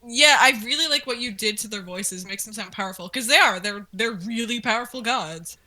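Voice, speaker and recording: A woman with a bright, crisp-edged and slightly high voice co-hosts a relaxed, casual commentary. She is informal and enthusiastic, reacting and putting questions to her partner. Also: a little fast. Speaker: co-host asking questions about the series.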